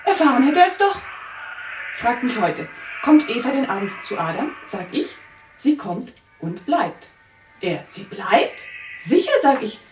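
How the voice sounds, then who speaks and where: A woman's voice: a little high, big pitch swings, measured pace. An actress playing a gossipy, envious, grumbling neighbour woman in a German radio play, chatty and needling. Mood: gleeful needling. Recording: roomy, distant mic, faint music.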